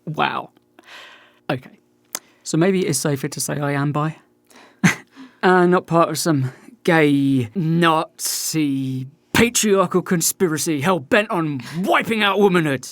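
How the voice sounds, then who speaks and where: A man's voice: on the high side, slow pace, fairly bright tone, no echo. An actor playing a wry, self-deprecating, slightly hesitant man in a dorm, in a naturalistic radio play. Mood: wry, defensive.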